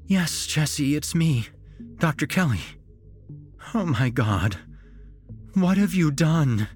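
A man's voice: a little high, animated, slow, soft background music, voice pushed up. A man voicing a protective woman doctor in an audio story, insistent and pleading. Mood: urgent, pleading.

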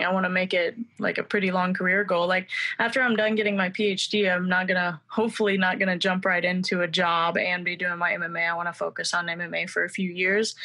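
Woman, twenties, bright tone, chatty, confident, filler-heavy interview answers. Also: on the low side. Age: 20s. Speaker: mixed martial arts fighter and student.

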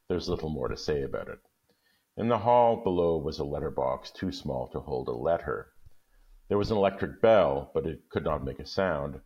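Very deep-voiced man, muffled recording. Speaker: guest story reader. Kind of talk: casual chat, then reading a short story aloud. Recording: dull, muffled lo-fi audio.

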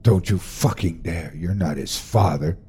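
A man's voice: sits low, measured pace, warm tone, slightly rough, full character voice. A man voicing a fierce, protective mother who curses at her husband and speaks tenderly to her sons. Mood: furious. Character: fierce protective mother, middle-aged woman.

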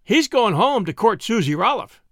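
Man doing a teasing young soldier, high voice. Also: sing-song, normal speed, a bit bright, no echo, studio quality, exaggerated voice.

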